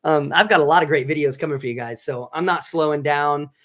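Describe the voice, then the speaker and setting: A man with a slightly raised pitch, hosting a live stream about skin care. His voice is a little raspy from allergies, and he rambles warmly through fillers and restarts as he greets viewers by name. It sounds casual and unscripted. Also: a little fast. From Southern California. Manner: rambling, many restarts and fillers, reads chat names aloud. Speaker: physician assistant and channel host.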